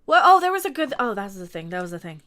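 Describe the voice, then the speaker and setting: A woman's voice: medium pitch, big pitch swings, fast talker, fairly bright tone. A sniffly woman hosting a casual live stream, rambling bluntly with restarts and asides to her chat.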